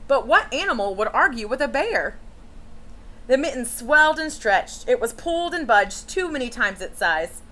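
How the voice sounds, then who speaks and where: Animated, high-voiced woman reading a children's story. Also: moderate pace, low-quality recording. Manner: animated, with asides and questions to children. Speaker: teacher reading a picture book to young students. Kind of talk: expressive children's read-aloud storytelling.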